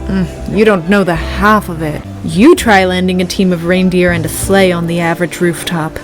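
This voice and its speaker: A woman's voice: lowish voice, steady tone, normal speed, lightly acted. The fed-up reindeer on the last bar stool of a radio comedy, griping drily over music, acted by a woman. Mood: weary griping. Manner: world-weary, griping, dryly pedantic. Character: jaded, tipsy, grumbling female-voiced reindeer drinking at a bar. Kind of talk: comedic audio play acting.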